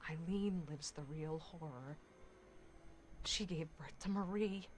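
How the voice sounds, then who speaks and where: A grim, bitter woman in a live-action game. She speaks low, with dramatic, accusing emphasis. There is faint noise around her voice. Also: takes it slowly.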